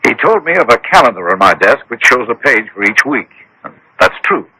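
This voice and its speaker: An actor playing an anxious, credulous, well-mannered wealthy client in a vintage radio mystery, speaking earnestly and formally. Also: male voice, medium pitch, big pitch swings, brisk, sharp tone, breathy edge, heavily acted, low-quality recording. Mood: earnest, awed. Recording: old radio drama broadcast.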